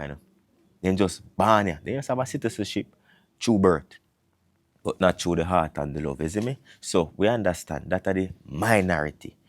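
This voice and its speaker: A man's voice: on the low side, no echo. A man in his thirties from Jamaica with a Jamaican accent, talking casually to camera and mixing patois into his English. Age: 30s. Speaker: vlogger. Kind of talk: casual monologue.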